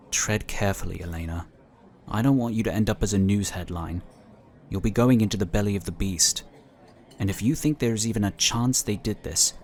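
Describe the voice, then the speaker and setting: Man playing a low-voiced, measured inquisitor. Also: natural voice. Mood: concerned, cautioning. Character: measured, wry male inquisitor, cautious and protective. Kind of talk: sci-fi audio drama acting.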